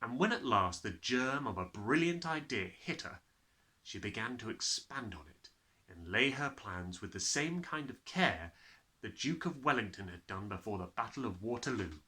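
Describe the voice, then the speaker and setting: Animated male children's storyteller, bright voice. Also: lowish voice. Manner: expressive, dramatic storytelling delivery. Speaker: storyteller reading a children's novel aloud. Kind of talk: animated scripted narration.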